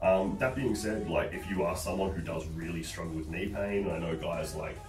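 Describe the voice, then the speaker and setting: Very deep, croaky man with a cold. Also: moderate pace. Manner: blunt, sweary, joking. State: sick with a chest infection. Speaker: host, powerlifting coach. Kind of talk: casual instructional chat. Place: small bare-walled room indoors. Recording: roomy echo, distant mic, faint music.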